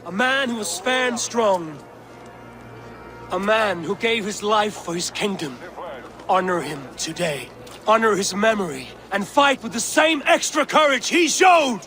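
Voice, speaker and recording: A man voicing a resolute Viking warrior leader in a game cutscene, speaking in a firm, measured, commanding way. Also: sits high, lively intonation. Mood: rousing. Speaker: game protagonist. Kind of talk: video game cutscene acting. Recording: game audio under a music score.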